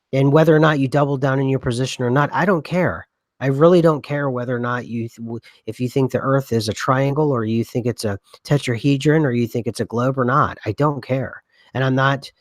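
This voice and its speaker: Podcast co-host, male, mid pitch, rambling, slight cough, dry close-mic sound. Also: dry recording. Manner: rambling, many restarts and fillers. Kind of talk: casual conspiracy podcast chat.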